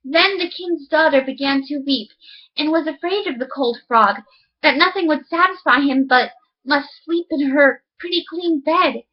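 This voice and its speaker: A woman's voice: high-pitched, moderate pace. A woman reading a fairy tale aloud as a storyteller, recorded with slightly dull, lo-fi sound.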